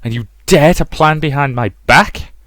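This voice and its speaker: A man voicing a stern, bitter, vengeful mother in a visual novel, commanding and deliberate. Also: on the high side, fairly bright tone, no echo, clean studio sound, voice pushed up, natural voice. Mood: furious.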